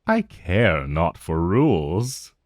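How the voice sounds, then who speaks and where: A man playing an ancient dragon in a romantic fantasy ASMR roleplay, possessive and menacing yet tender, with breathy, drawn-out, condescending endearments. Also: low-pitched, very animated, no echo, clean studio sound, exaggerated voice. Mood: possessive, hungry.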